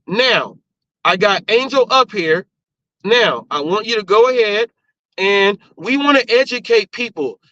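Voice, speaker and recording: A man's voice: sits high, pushed and bright, no echo. A man hosting a casual live talk show, speaking in an emphatic, colloquial way. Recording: dull, lo-fi stream audio.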